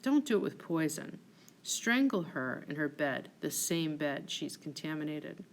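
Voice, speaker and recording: A woman voicing a scheming male officer in a play read aloud, measured and insinuating, feigning reluctance. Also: deep voice, sing-song, a little hiss or hum, full character voice, rough recording. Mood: cold plotting.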